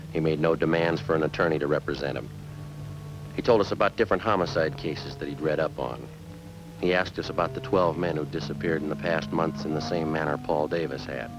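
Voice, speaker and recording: Very deep male voice, unflappable detective, terse, matter-of-fact narration, vintage radio police show, musical backing. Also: dull audio. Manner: clipped, deadpan, matter-of-fact. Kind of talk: 1950s radio police drama acting.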